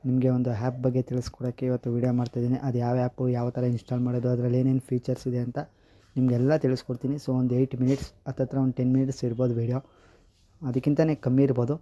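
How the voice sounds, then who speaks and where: Casting: male tutorial host, mellow dark tone, very even delivery, casual step-by-step explanations, slightly lo-fi sound. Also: medium pitch, racing. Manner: repeatedly addresses viewers as "guys". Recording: slightly dull lo-fi audio.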